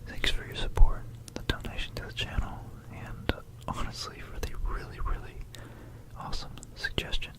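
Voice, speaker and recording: Whispering ASMR host, rambling gently. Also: moderate pace. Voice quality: unvoiced, breathy whisper. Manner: rambling, hesitant, gentle bedtime delivery. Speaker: ASMR video creator and host.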